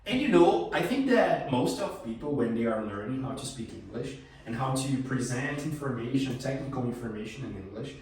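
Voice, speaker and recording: A young Brazilian man with a Brazilian accent, whose first language is Portuguese, hosting a relaxed English chat with some hesitations and restarts. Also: medium pitch, a little fast, few pauses, background noise. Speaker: host. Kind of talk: casual conversational English practice. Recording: very echoey room, distant mic.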